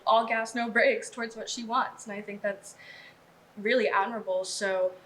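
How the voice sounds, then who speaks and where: A young woman talks casually in an interview at an average pitch. A little noise can be heard in the background. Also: steady tone, normal speed, a bit bright, poor audio. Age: young adult. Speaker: student actor being interviewed.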